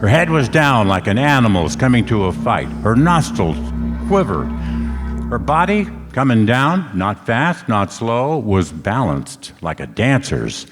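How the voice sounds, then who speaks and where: A man's voice: medium pitch, very animated, unhurried. A man playing a tough, wry private detective in an old-time radio play, both narrating and talking. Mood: tense narration. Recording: echoey hall stage recording, music behind.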